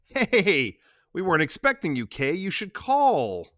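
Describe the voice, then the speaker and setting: A storyteller voicing a calm family lawyer who gives smooth, evasive reassurances. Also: medium pitch, unhurried, dry recording, studio quality, pitched above the performer's own voice.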